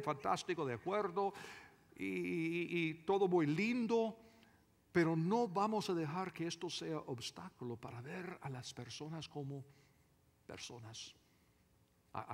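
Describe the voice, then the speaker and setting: A man preaching a sermon as a pastor, emphatic and deliberate, often repeating his phrases. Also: fairly high pitch, creaky. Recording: reverberant hall with long echo.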